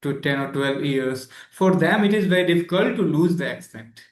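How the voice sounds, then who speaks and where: Man, channel host, slightly high voice, hesitant delivery, echoey room. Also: lively intonation, moderate pace. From India. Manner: hesitant, many fillers and restarts. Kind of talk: casual interview.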